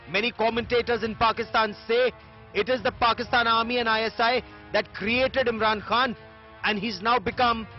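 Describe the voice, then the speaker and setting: A man with an Indian English accent reading a scripted news report as a voice-over. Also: very high voice. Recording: dull audio over music bed. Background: background music.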